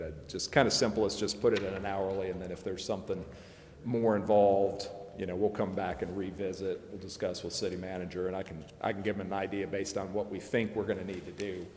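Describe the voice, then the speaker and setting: Low-voiced man in an echoey hall. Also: fairly even intonation, fast, a little mellow. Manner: plain-spoken, discursive, self-deprecating. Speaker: lawyer advising a city council. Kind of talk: informal explanation at a public meeting. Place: indoor council chamber. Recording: echoey hall with long reverb, background noise.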